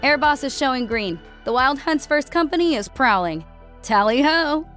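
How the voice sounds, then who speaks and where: A woman's voice: sits high, lively intonation, moderate pace, a bit bright, soft background music, pitched above the performer's own voice. A woman voicing a professional female military ship announcer with clipped, formal procedural calls. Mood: rallying.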